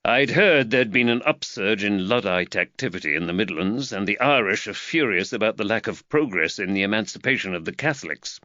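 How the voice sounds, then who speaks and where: A man voicing a polite, measured detective with a dry wit, close to his own voice. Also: lowish voice, lively intonation, pushed and bright, dry recording, lo-fi sound. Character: calm, dry-witted young male detective.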